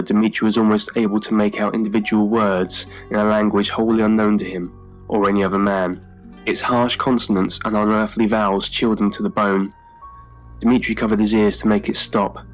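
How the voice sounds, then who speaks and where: A man reading a scripted horror story in a measured, dramatic storytelling manner. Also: on the low side, muffled recording. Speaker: story narrator. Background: music.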